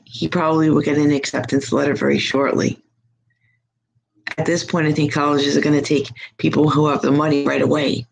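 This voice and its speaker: A hoarse, husky middle-aged woman hosting a live stream as a psychic reader, chatting in a rambling, upbeat way from her home. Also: low-pitched, poor audio. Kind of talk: casual live-chat monologue.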